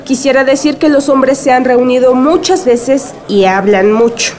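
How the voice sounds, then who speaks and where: A woman's voice: high voice, normal speed, a little mellow, a faint crowd, close to an everyday voice. A woman playing a respectful but firm villager in a radio drama, courteous and pointed.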